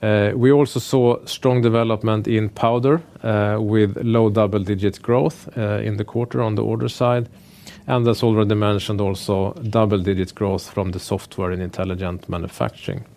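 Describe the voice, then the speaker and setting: A man speaking as a finance executive presenting quarterly results, in a measured, businesslike and somewhat hesitant way. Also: lowish voice, little pitch movement, creaky, darkish tone. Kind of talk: earnings call presentation, prepared remarks delivered semi-freely.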